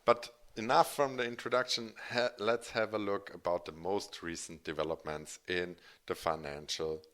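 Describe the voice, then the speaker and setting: A man's voice: low voice, fairly even intonation, normal speed, fairly bright tone. A man from Germany with a German accent, a native German speaker, giving an informal explanatory presentation as a financial educator, with hesitant, self-correcting phrasing. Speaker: financial educator and channel host.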